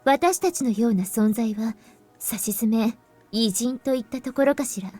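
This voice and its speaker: A woman voicing a composed, serious young heroine in a visual novel, speaking in a measured, explanatory way. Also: fairly high pitch, dark tone, lightly acted. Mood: serious, explaining. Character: composed young woman revealing grave secrets, anime game heroine. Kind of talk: Japanese visual novel voice acting. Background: game music.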